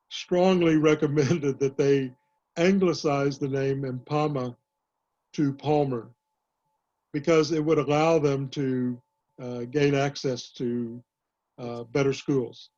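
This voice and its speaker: Older man historian, dark muffled voice. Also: fairly high pitch, steady tone. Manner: measured, many "uh" pauses and restarts. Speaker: author and historian, guest speaker. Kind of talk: online panel talk answering questions.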